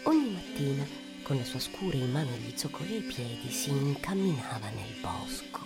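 A woman telling a fairy tale theatrically in a radio play, with dramatic drawn-out phrasing and sudden whispers. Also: rumbling low, sing-song, loud music behind the voice.

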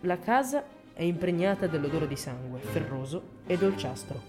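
A woman's voice: on the low side, expressive, normal speed. A woman reading a scripted descriptive passage for radio. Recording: music behind the voice.

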